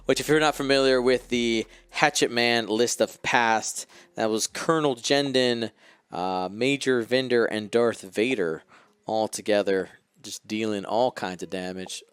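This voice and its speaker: Smooth-voiced, playful male game commentator. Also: mid-range voice, unhurried, fairly bright tone, no room sound. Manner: chatty, playful banter with viewers. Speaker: stream co-host and commentator. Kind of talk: live commentary on a tabletop miniatures game. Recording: close dry mic with faint music.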